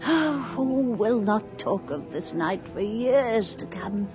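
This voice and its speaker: Worldly high society guest, slow knowing delivery, music behind, muffled old radio play. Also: female voice, expressive. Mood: wry, knowing. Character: worldly society lady guest at a macabre ball. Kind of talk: theatrical radio drama acting.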